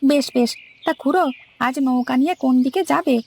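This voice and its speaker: A woman voicing an eager, deferential male boatman's helper in a cartoon, creaky, with a questioning, folksy manner. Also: high-pitched, fairly quick, darkish tone. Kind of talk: cartoon story dialogue.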